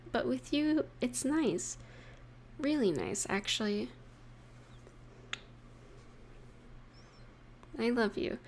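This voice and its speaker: A young woman playing an affectionate, playful girlfriend in an intimate audio roleplay, speaking softly and tenderly with gentle pauses. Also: on the high side, slight background noise. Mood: tender, sincere. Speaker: voice actor in romantic audio roleplay. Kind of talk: intimate scripted roleplay addressed to the listener.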